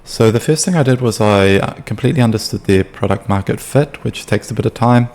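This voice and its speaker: Brief: young New Zealand man, deep voice, relaxed chatty explainer delivery. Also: a little fast. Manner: loose and chatty, with many ums and restarts. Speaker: sales consultant. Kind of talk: casual explainer video.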